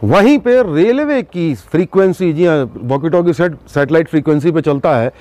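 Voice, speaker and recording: A man hosting an investigative news show, speaking emphatically to viewers with dramatic pauses and rhetorical questions. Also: a little high, animated, darkish tone, no echo. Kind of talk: news exposé monologue to viewers.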